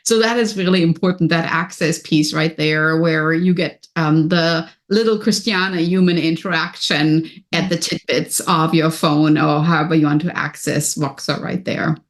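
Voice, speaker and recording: A woman with a German accent, speaking as a coach and podcast guest in an energetic, upbeat way. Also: low-pitched, moderate pace, hardly any pauses. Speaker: business and wellness coach, guest. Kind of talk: podcast interview.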